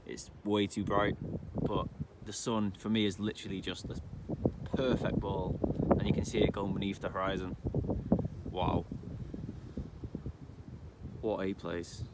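A young man with a low voice vlogs casually outdoors. He talks informally in short exclamations, sometimes swearing. Very loud wind noise hits the microphone throughout. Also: flat delivery, measured pace, pauses often. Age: 20s. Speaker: vlogger. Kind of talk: casual chat to camera. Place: outdoors beside a van on windy grassland.

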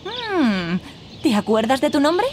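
An actress playing a kindly, encouraging fairy guide who gives patient instruction in a video game. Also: female voice, sits high, very animated, slow, noisy background, full character voice. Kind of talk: scripted game tutorial dialogue.

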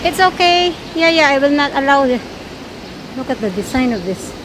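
A woman vlogging a walking tour, excited and exclaiming often, filmed on a handheld camera in rain and wind. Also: high voice, normal speed. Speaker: travel vlogger. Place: outdoors and in a sports complex, rain and wind. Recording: noisy outdoor phone video.

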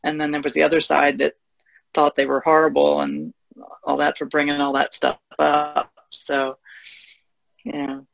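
A woman's voice: low-pitched, completely flat delivery, a little slow, gravelly, dry recording, poor audio. A woman speaking casually and informally as a panelist in a podcast discussion.

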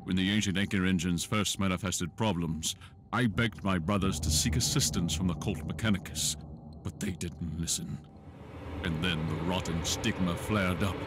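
An actor playing a devout, guilt-ridden priest in a video game, solemn and confessional. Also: male voice, sits low, moderate pace, background noise, natural voice. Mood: solemn, penitent. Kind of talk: scripted game dialogue. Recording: game music behind.

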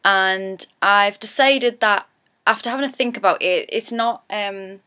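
A bright-toned woman speaking with a Geordie accent. She is chatty and rambling, and often corrects herself mid-sentence. She talks casually, like someone explaining her local words to viewers. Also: mid-range voice, measured pace, clean tone. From Newcastle, England. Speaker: vlogger teaching dialect. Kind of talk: casual dialect lesson.

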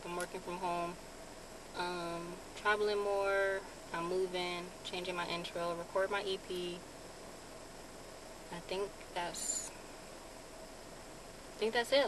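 A woman's voice: mid-range voice, rough recording. Chatty young woman vlogging in a car. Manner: chatty, lots of ums, relaxed. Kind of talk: casual car vlog. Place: parked car, daytime. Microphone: phone camera on dashboard. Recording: car with clear background noise. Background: vehicle ambience.

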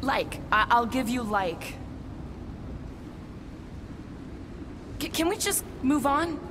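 Tough, terse female assassin, dry delivery, fairly high voice. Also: animated, measured pace, background noise. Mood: awkward. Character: guarded deadly female alien assassin. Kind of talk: video game cutscene acting.

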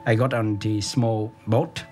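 Middle aged guy from vietnam, lowish voice, short halting lines, music under. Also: fairly even intonation, darkish tone. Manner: simple short sentences, halting. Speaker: interviewee, refugee. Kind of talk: documentary interview. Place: office room, indoors.

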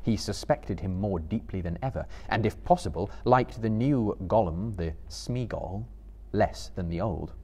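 A man in his thirties giving a measured, theatrical literary narration as a live dramatic reader. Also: lowish voice, warm tone. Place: seated indoors on a book-lined stage before a live audience.

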